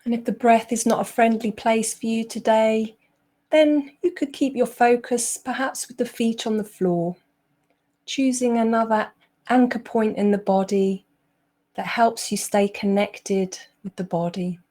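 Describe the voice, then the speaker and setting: Slow-paced woman guiding a meditation. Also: a little high, steady tone. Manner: gentle, unhurried, with long pauses. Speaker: meditation teacher. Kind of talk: guided meditation.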